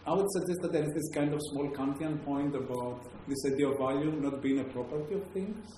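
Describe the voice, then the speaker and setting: A man with a Greek accent lectures to an audience, pausing often on fillers and restarting his sentences. His voice is mellow and dark. It rings out in a very echoey room over a faint hum of background noise. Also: on the high side. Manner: hesitant, many fillers and restarts. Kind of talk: academic talk to a live audience.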